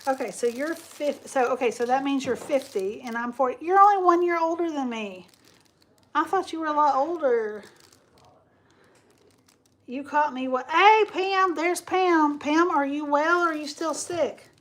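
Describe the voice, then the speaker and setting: A woman's voice: sits high, measured pace, a bit bright. A woman, chatting casually with viewers on a live stream while she crafts. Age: 40s. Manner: chatty, talks to viewers and reads comments aloud. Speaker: craft livestream host.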